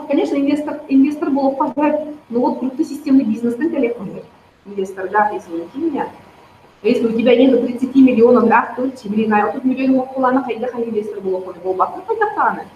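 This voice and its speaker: A woman's voice: a little high, slight background noise. Woman, 30s, persuasive promoter; dull, roomy home recording. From Yakutia. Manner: persuasive, addresses viewers directly. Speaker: network marketing promoter. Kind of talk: persuasive talk to viewers.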